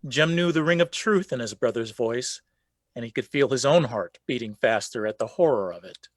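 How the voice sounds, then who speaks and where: A male storyteller voiced by an actor narrating a spooky radio play in a medium register. The delivery is measured and suspenseful, with no room sound around it. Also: mid-range voice, animated, no room sound, clean studio sound, close to an everyday voice. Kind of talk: scripted dramatic narration.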